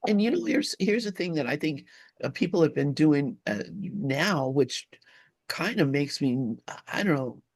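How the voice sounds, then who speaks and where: A man speaking reflectively and casually in a group discussion, halting and repeating himself as he gropes for words. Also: on the high side, animated, no room sound.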